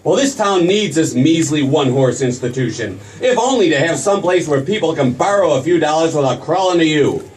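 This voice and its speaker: A man acting an eager young small-town dreamer in an old-time radio play. His voice is highish and swoops freely, stammering and folksy. It is picked up from far off with some hiss. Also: expressive, brisk, few pauses, close to an everyday voice. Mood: indignant. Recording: noisy stage recording from far off.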